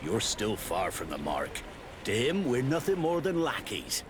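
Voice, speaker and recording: A man's voice: medium pitch, wildly swooping pitch, unhurried. A man playing a worldly, gossipy sailor in a video game, with a knowing, colloquial, insinuating delivery. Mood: sly, gossipy. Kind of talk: video game dialogue acting. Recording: noisy outdoor harbour ambience.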